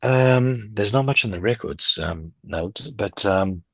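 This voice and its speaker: A man's voice: on the low side, slow, fairly bright tone, no room sound. A man in his fifties, a record dealer and collector, chatting as an interview guest in a rambling, upbeat way. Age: 50s. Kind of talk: casual interview chat.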